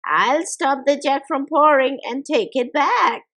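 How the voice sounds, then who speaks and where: A woman's voice: high-pitched, slow pace, a bit bright. A woman voicing a stern old woman who knows magic spells and scolds firmly in a storybook. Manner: firm, scolding, matter-of-fact.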